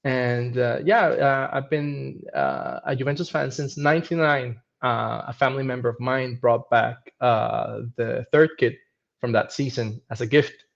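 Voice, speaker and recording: Gravelly-voiced man in his thirties from Venezuela, halting guest talk over dull lo-fi call audio. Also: mid-range voice, steady tone. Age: 30s. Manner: hesitant, many restarts and fillers. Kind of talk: casual podcast chat.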